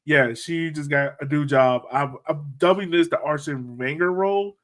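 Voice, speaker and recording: A man's voice: fairly high pitch, moderate pace, fairly bright tone. An American man speaking as a podcast host in a casual, chatty style about sports.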